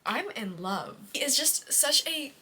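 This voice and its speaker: A woman's voice: high voice, lively intonation, a little slow, loud music behind the voice. A young adult woman chatting casually as a book vlogger, dramatic and slangy, speaking with emotive exaggeration. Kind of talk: casual reading vlog, confiding chat to camera. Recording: noticeable background noise.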